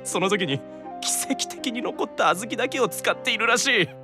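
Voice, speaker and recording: A proud demon brother, worn out yet dead earnest. His delivery is stiff and theatrical, swinging widely in pitch in the manner of an anime audio drama. Faint music plays along. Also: expressive, brisk. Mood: intense, weary. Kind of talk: Japanese anime-style audio drama acting.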